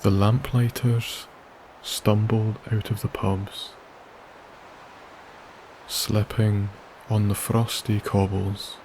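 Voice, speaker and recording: A man softly narrating a bedtime sleep story in a slow, soothing, gentle manner with long pauses. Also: on the low side, flat delivery, a little hiss or hum, low-quality recording. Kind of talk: soft-spoken ASMR sleep story narration. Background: wilderness ambience.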